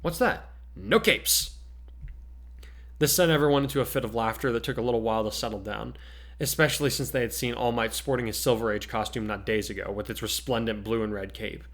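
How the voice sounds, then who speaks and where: A man's voice: mid-range voice, steady tone, quick pace. A man narrating a story aloud as a dramatic reader of fiction.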